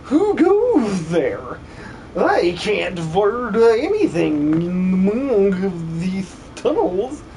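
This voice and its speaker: Man acting a flamboyant game character, camp drawl, high-pitched, swooping, unhurried, affectionate. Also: huge pitch swings, warm tone, a little hiss or hum, full character voice. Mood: curious, coaxing. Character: flamboyant, theatrical, doting older sibling lost-tunnel dweller. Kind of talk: amateur game fan dub.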